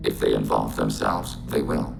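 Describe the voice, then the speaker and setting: Super deep crackly game robot, clipped and emotionless, synthetic sounding, music behind. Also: male voice, moderate pace, vocal fry, exaggerated voice. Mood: detached, factual. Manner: flat, clipped, literal statements. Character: collective-minded humanoid robot, calm, literal, emotionless. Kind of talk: scripted video game acting.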